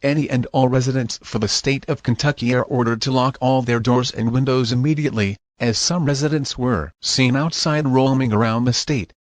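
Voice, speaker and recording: A male announcer with a mid-pitched voice reads scripted horror-style news and emergency alerts. The sound is dry and close, with no room reflections. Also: no room sound.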